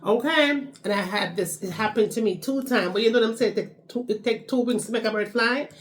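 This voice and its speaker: A woman's voice: fairly high pitch, a little fast, slight background noise. Chatty Jamaican woman telling a story. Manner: chatty, repeats phrases, frequent "okay?" tags. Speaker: vlogger. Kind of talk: casual storytime chat.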